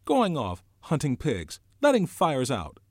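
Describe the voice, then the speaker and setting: A man plays a shrill, indignant schoolboy from a storybook. The voice leaps high and swings about, loud and scolding, in a dry close recording. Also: wildly swooping pitch, measured pace, no echo, full character voice. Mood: shrill indignation. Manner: indignant, ungrammatical exclaiming.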